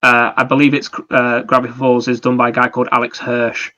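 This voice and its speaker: Male podcast co-host, forceful bright tone, fairly flat intonation, wordy explainer in casual review mode. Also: mid-range voice, fairly quick, muffled recording. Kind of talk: casual video game review chat.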